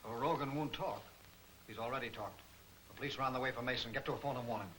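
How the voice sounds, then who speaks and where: An actor playing a controlled, menacing criminal mastermind in a 1940s movie serial. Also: male voice, medium pitch, fast talker, a bit bright, lightly acted, low-quality recording. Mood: stern. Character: soft-spoken sinister criminal mastermind, man. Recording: hissy 1940s film soundtrack.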